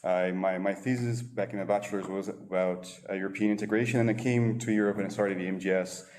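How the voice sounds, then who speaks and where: Lower-voiced man with Brazilian accent. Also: steady tone, fast, a little mellow, slight background noise. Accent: Brazilian Portuguese. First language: Portuguese. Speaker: co-author, speaker at launch. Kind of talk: presentation talk.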